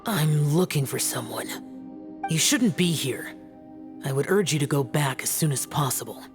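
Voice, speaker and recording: A man voicing an aloof, stern young demon slayer in a video game, speaking tersely and gravely. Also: on the high side, lively intonation, moderate pace, over music. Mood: guarded, stern. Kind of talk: English video game voice acting.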